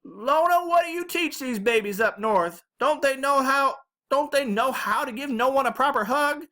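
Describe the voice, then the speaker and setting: A woman's voice: high-pitched, animated, moderate pace, sharp tone, pitched above the performer's own voice. A woman voicing a feisty, bossy old grandmother with a Southern American accent and a folksy, scolding, emphatic way of talking, as in a storybook. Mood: loud scolding.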